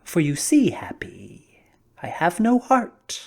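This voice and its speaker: Weary old straw emperor, slow and sighing, often breathy, swinging widely in pitch, as a woman reader plays him. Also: wildly swooping pitch, darkish tone, heavily acted. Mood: gentle. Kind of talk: storybook reading.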